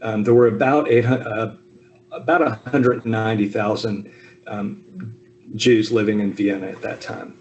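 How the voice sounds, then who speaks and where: Man, 50s, from the US South, slightly low voice, easygoing talk with ums over a video call, faint hum behind. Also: a little slow, dull audio. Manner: relaxed, with frequent ums and self-deprecating asides. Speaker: speaker at a Zoom talk. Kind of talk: personal family history talk.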